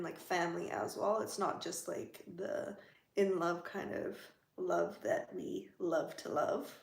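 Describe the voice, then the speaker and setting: Female livestream host, unhurried and teasing, a bit of vocal fry, roomy home sound. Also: medium pitch. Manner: chatty, teasing, talks to viewers' comments. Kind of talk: casual livestream chat.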